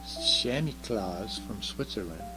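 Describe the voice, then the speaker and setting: A man voicing a curious, earnest little girl in a children's storybook, soft and chatty, asking and explaining eagerly. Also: fairly low pitch, lively intonation, slow pace, music in the background, poor audio. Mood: proud, chatty. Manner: eager questioning, explaining carefully. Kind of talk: children's storybook read aloud.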